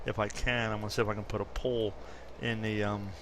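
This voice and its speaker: A man's voice: fairly low pitch, little pitch movement, fairly quick, a bit bright, slight background noise. A man in his fifties casually chatting through gameplay commentary, rambling and correcting himself as he goes. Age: 50s. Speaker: gaming YouTuber.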